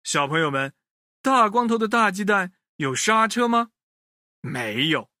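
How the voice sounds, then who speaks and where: Male storybook narrator for kids: unhurried, bright, highly expressive delivery. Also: dry recording, studio quality. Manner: expressive, addressing child listeners directly. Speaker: children's storyteller. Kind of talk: animated storybook narration for young children.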